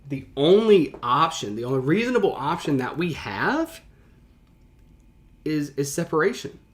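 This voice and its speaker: A man's voice: a little high, moderate pace. American man giving casual, slangy reaction commentary. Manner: colloquial slang, thinking aloud, animated paraphrasing. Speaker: teacher reacting to a historical reading.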